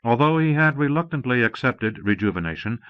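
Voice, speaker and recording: A man reading a novel as an audiobook narrator, in a measured, formal manner. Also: medium pitch, lively intonation, smooth, no room sound. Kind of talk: scripted narration of a science fiction novel. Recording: dull lo-fi cassette audiobook.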